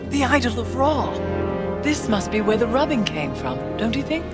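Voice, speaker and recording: A woman's voice: on the high side, very animated, normal speed, loud music behind the voice. An actress playing a cultured, playful, self-assured woman adventurer in a video game. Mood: intrigued. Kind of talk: cinematic game acting.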